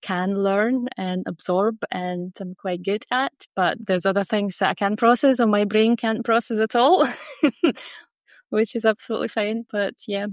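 A woman's voice: medium pitch, measured pace, no room sound, studio quality. A woman from Russia, a native Russian speaker with a Glaswegian accent, chatting casually and telling personal stories as an interview guest. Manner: chatty, laughs often while talking.

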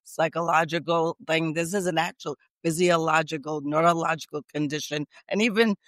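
Podcast guest: middle-aged woman, raspy and strained, emphatic and repetitive, close and dry. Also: low voice, fairly even intonation, normal speed, a bit bright, dry recording. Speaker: guest, speech pathologist. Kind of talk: casual podcast interview.

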